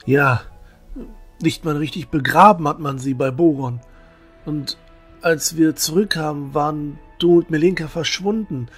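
A man playing an earnest, emotional young village hero in a fan-made fantasy audio drama, speaking haltingly. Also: on the high side, breathy edge, soft background music. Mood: upset. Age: young adult.

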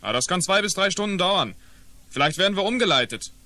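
A man's voice: high voice, lively intonation, brisk, bright, forceful tone, no room sound. An actor playing an agitated male motorist in a 1980s German radio play.

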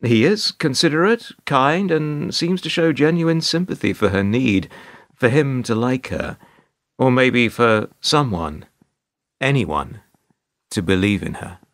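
A wry, put-upon male narrator played by an actor tells a radio play in the first person. His delivery is measured and sardonic, with a lively, swooping intonation at a middling pitch. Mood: wry, dry. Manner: dry, sardonic, measured storytelling.

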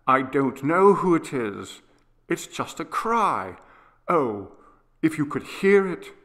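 A man's voice: fairly high pitch, sing-song, takes it slowly, a bit bright, voice pushed up, exaggerated voice. A man voicing a feverish, eager young boy who pleads urgently and insistently in an audiobook story. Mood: urgent, confiding. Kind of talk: dramatised audiobook character reading.

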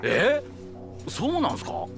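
A man playing a slow-witted, eager young yakuza underling in a game cutscene, deferential and naive, in casual junior slang. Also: high voice, huge pitch swings, gravelly, music in the background, exaggerated voice. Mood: naive questioning. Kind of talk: video game cutscene acting.

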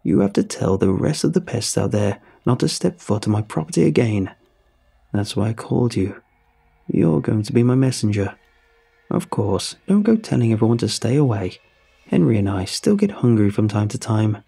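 A man voicing a spidery old woman monster with a croaking, wobbling voice, sly and sweetly menacing. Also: lowish voice, big pitch swings, moderate pace, dark tone, heavily acted. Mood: gloating. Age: over 60.

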